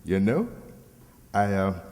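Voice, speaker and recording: An actor playing a shameless, folksy used-car salesman in old-time radio comedy, with theatrical, drawn-out sales patter. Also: low voice, big pitch swings, darkish tone, exaggerated voice. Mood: boastful pitching. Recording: echoey hall with long reverb.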